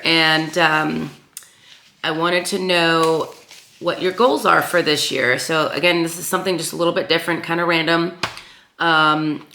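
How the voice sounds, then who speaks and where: Raspy-voiced woman chatting to camera. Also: lowish voice, sharp tone, reverberant, slight background noise. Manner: rambling, many ums and uhs, repeats herself. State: raspy voice after sinus infection. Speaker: craft channel host. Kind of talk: casual chat to camera.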